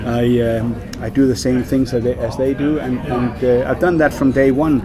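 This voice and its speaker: A man's voice: mid-range voice, little pitch movement, moderate pace, a crowd in the background. A Danish man working as a whisky brand ambassador, speaking English in a casual interview, with many fillers and repeated words. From Denmark.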